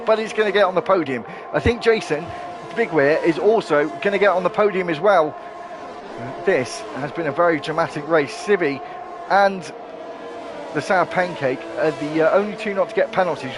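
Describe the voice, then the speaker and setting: A man calls a sim race live on stream, his voice sitting a little high for a man. He races through the action with bursts of excitement and corrects himself on the fly. Faint background hiss sits under the mic. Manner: excitable, rapid play-by-play, self-correcting. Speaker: sim racing commentator.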